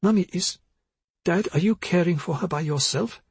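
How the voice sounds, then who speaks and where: A man narrator acts out a grown daughter in an audiobook, anxious and pleading. Her voice is pitched up and husky, with an urgent, imploring manner. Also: moderate pace, darkish tone, no echo, exaggerated voice. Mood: desperate. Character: anxious, pleading adult daughter, eldest child.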